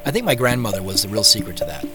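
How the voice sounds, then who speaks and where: A man's voice: lowish voice, big pitch swings, very fast, over music. An actor playing a reflective, curious American man in his forties, narrating a family mystery in scripted first person. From Seattle, United States. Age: 40s.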